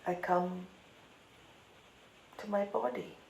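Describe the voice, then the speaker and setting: Female meditation guide: calm, unhurried, slightly low and creaky, pausing often, roomy recording. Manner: calm, deliberate, long pauses between phrases. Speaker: meditation teacher. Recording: roomy echo, light background noise.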